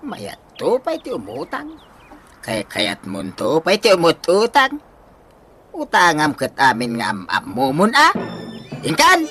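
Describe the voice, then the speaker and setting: A man's voice: on the high side, huge pitch swings, measured pace, pushed and bright, faint music behind, exaggerated voice. A man with a Filipino accent playing an exasperated husband who nags and scolds emphatically in a comedy radio drama. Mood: sarcastic nagging. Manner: nagging, emphatic.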